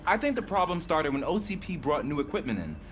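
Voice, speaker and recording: An earnest police dispatcher for a video game. His voice is fairly high and expressive, conciliatory in manner, with faint noise behind it. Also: fast talker. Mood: earnest, helpful.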